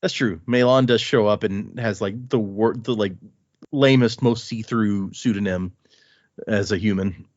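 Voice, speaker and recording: A man hosting a podcast, reading a listener's email aloud and adding his own quick asides between lines. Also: on the low side, no room sound. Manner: reads with lively asides and comments between lines. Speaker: podcast host. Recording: dry, slightly dull lo-fi sound.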